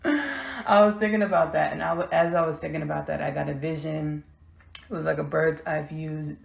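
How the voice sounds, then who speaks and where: Woman podcast host, gravelly low voice, slow meditative spiritual monologue, roomy echo. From Texas. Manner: slow, halting, meditative delivery with long pauses.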